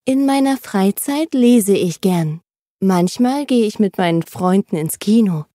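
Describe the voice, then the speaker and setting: A woman reading first-person texts aloud for language learners, with a steady, neutral scripted delivery. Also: mid-range voice, a little slow, dry recording, studio quality. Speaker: reader of a language-learning text.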